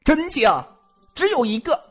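A man's voice: very high voice, very animated, a little slow, fairly bright tone, faint music behind, exaggerated voice. A man voicing a talkative, joking young male physician who gossips and pleads comically in a multi-cast audio drama. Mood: gossipy. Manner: bantering, giggly, exaggerated pleading.

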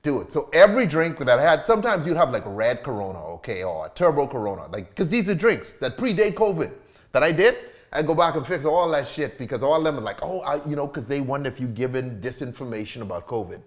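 A man's voice: medium pitch, very animated, fast. Joking, profane cocktail-show host man. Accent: American. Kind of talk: casual cocktail-making chat.

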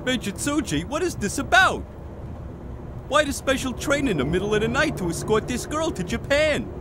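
An actor playing a keen, inquisitive junior soldier in a video game. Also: male voice, very high voice, sing-song, moderate pace, background noise. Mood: puzzled. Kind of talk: video game cutscene acting.

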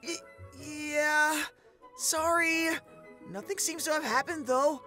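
A woman voicing an accident-prone, good-natured young adventurer boy with a sheepish, halting manner in a comic dub. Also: sits high, sharp tone, full character voice. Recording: music behind the voice.

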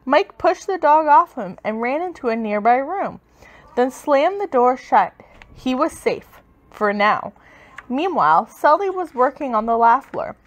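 A woman reading a children's story aloud with expressive, dramatic, emphatic delivery. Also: high voice, a little slow. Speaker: storybook reader.

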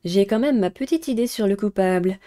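Young woman game streamer, mid-pitched, mellow voice, casual commentary mixed with dialogue read aloud. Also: brisk.

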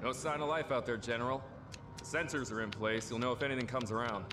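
A man playing a gruff, cocky smuggler captain in a game cutscene, with a wry, offhand manner. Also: mid-range voice, fairly even intonation, fast talker, music in the background, close to an everyday voice. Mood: businesslike. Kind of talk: animated game cutscene acting. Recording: loud noisy sound effects behind.

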